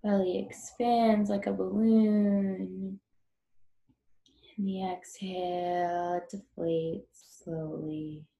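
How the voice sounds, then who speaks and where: A woman leads a guided breathing meditation at a slow, unhurried pace. Her voice has a noticeable creak at an average pitch, and she instructs gently and informally. The sound is roomy, with audible echo. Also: level tone, lo-fi sound.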